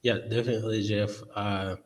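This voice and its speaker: A man's voice: fairly low pitch, level tone, normal speed. A man in his twenties from Rwanda, a podcast guest talking earnestly from his office over a video call, with many fillers and restarts. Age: 20s. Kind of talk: podcast interview.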